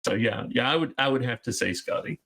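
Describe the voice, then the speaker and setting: A man's voice: medium pitch, animated, brisk, bright, forceful tone. A man of fifty from Georgia, chatting casually as an interview guest, rambling with fillers and restarts. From Georgia, USA. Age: 50s. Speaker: guest game designer.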